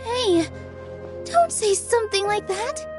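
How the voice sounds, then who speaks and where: A woman voicing an earnest young female stage dancer who speaks sincerely and gently. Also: very high-pitched, animated, takes it slowly, heavily acted. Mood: protesting. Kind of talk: video game dialogue. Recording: game audio with faint music.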